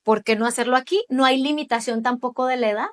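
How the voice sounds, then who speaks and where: A woman's voice: a little high, quick pace. A woman in her late thirties with a Mexican accent gives explanatory interview answers, emphatic and often checking in with short tag questions. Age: late 30s. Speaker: interviewee, judicial candidate.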